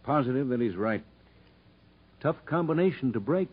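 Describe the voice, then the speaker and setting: A man playing a level-headed, principled prison doctor in a 1950s radio drama, in a measured, matter-of-fact way. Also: mid-range voice, expressive, husky. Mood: concerned, firm. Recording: muffled vintage radio broadcast.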